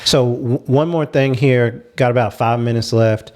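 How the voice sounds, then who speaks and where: A man's voice: medium pitch, steady tone, moderate pace, a crowd in the background. A man hosting a casual panel discussion, giving advice in an earnest, emphatic way. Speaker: talk show host.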